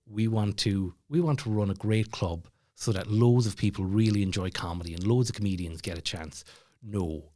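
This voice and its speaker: A man's voice: fairly low pitch, moderate pace, mellow. A man with an Irish accent telling stories casually as a podcast guest, chatty and self-deprecating, like a stand-up comedian off stage. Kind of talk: casual storytelling chat.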